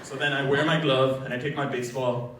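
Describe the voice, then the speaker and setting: A man's voice: medium pitch, steady tone, brisk, fairly bright tone. A young man from Pakistan telling a comedic personal story on stage at a college event, in a casual, chatty, self-deprecating way. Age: young adult. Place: college event room with live audience. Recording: echoey hall, picked up from far off, noisy.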